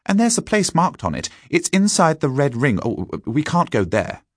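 A man's voice: fairly high pitch, sing-song, moderate pace, no room sound, studio quality, voice pushed up. A man voicing a resourceful, observant schoolboy Scout in a storybook, eagerly piping up.

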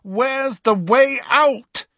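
A man voicing a desperate, furious young wizard boy in an audiobook, shouting urgently. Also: very high-pitched, very slow, vocal fry, no room sound, lo-fi sound, voice pushed up, heavily acted. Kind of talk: audiobook character voice.

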